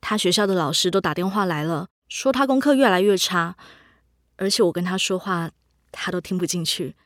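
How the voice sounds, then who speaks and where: An actress playing a gentle, considerate middle-aged mother in a scripted audio drama. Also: fairly low pitch, a little fast, no echo, clean studio sound, natural voice. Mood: polite, hopeful. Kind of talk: scripted radio drama dialogue.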